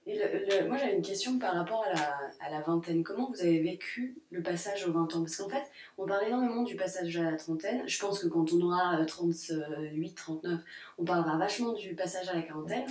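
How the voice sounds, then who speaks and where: A woman's voice: lowish voice, fairly quick, darkish tone. A woman in her late twenties co-hosting a casual discussion, hesitant and often restarting her sentences. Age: late 20s. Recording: roomy echo.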